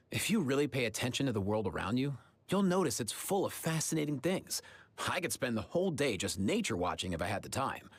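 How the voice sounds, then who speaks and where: An actor playing a friendly, easygoing young adventurer in a video game, with an upbeat, casual, chatty manner. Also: male voice, mid-range voice, big pitch swings, fairly quick, husky, dry recording. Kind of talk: video game character lines.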